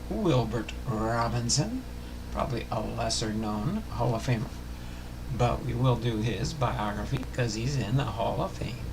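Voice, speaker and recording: Gravelly older man chatting on a noisy livestream. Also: mid-range voice. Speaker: livestream host. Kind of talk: casual livestream chat.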